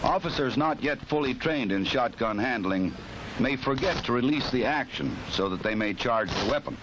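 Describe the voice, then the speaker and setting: An American man giving measured, formal, scripted narration for a 1960s police training film. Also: medium pitch, lively intonation. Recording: noisy 1960s film soundtrack.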